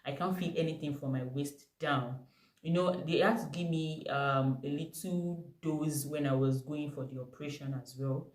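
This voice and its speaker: A young woman with a Nigerian accent telling a personal story to camera, animated and emphatic. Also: low voice, normal speed. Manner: animated, emphatic retelling with bursts of laughter. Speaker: vlogger. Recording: echoey room.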